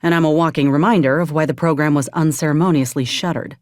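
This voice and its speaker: A woman's voice: deep voice, fairly even intonation, quick pace, a little mellow, dry recording, clean studio sound, lightly acted. A woman playing a wary, plain-spoken former combat medic turned mercenary in a video game, with folksy frontier phrasing. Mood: weary, rueful. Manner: folksy, drawling frontier speech with ain't and folk. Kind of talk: video game character dialogue.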